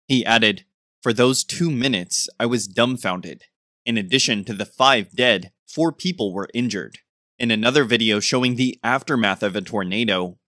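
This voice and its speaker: Low-pitched male news narrator, dry studio sound. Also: a bit bright, dry recording.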